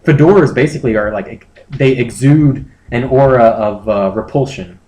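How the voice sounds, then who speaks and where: A man's voice: mid-range voice, normal speed, a little mellow. Man chatting casually in an echoey room. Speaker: comedy podcast host. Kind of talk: casual chat.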